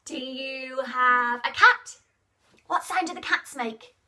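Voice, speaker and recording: A woman narrates an interactive storytime for young children. Her voice is very high and bright, and she takes her time. She speaks expressively, putting questions to her listeners and coaxing them to join in. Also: takes it slowly, far from the mic.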